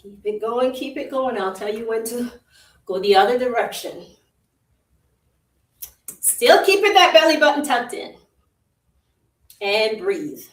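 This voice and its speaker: A woman's voice: fairly high pitch, expressive, a little slow, pauses often. A woman with a Jamaican accent leading a live workout, giving short, encouraging cues over and over and laughing easily. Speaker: fitness instructor. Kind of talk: live virtual workout coaching. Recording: roomy, distant from the mic.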